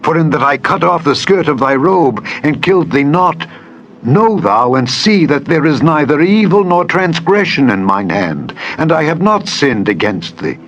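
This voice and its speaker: A man's voice: fairly high pitch, sing-song, moderate pace, fairly bright tone. An actor playing an earnest young warrior pleading his innocence in a declamatory scripture drama. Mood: impassioned pleading. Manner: declamatory, emphatic, solemn archaic phrasing. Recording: dull lo-fi audio with music.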